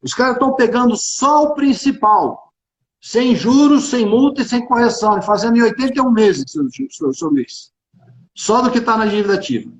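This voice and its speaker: A man's voice: very high voice, moderate pace, gravelly. A man with a Carioca accent from Rio de Janeiro, speaking emphatically and informally on a business livestream, often repeating phrases. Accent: Carioca Brazilian Portuguese. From Rio de Janeiro, Brazil. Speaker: tax consultant and executive speaking on a livestream. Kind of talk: informal live business discussion. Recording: echoey room, dull band-limited livestream audio.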